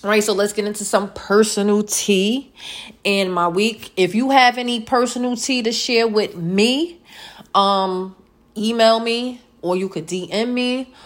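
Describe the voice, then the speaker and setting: A woman's voice: medium pitch, measured pace. Chatty woman turning forty. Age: late 30s. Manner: chatty, repeats words, frequent ums, playful asides. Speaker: podcast host. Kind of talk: casual solo chat.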